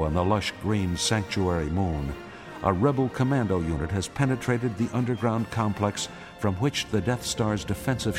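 A man delivering dramatic scripted narration as the narrator of a radio drama. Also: lowish voice. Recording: music behind the voice.

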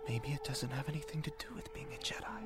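A man plays a young space-fantasy hero in a radio play. He is earnest and conflicted, pleading his case with raw feeling. His medium-pitched voice rides over very loud music. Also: animated, quick pace, in a whisper, natural voice. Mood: searching, hushed. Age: young adult. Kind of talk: radio drama acting. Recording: loud orchestral score behind.

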